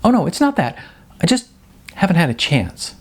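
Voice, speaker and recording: A man acts out a timid young woman in an audiobook, pitched high and lively. She stammers and hesitates, apologetic and eager to smooth things over, with a husky edge to the voice. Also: normal speed, heavily acted. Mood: awkward, hesitant. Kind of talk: audiobook character voice.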